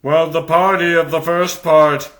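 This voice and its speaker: A man's voice: fairly high pitch, fairly even intonation, unhurried, a bit bright. Man as a crabby, scheming cartoon crab. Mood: smug scheming. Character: crabby, scheming male crab real-estate swindler. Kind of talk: comic book dub cartoon acting.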